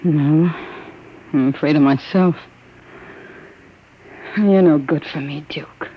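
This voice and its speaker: A woman's voice: sits low, takes it slowly, slight background noise. An actress playing a frightened, weary young woman who talks tensely in a 1950s radio drama. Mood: fearful. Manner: tense, anxious. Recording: muffled old radio broadcast.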